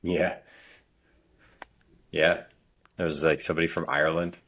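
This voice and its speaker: A man co-hosting a podcast about fatherhood, talking in a relaxed, rambling way with frequent restarts. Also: very deep voice, a little slow. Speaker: podcast co-host. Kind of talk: casual podcast chat.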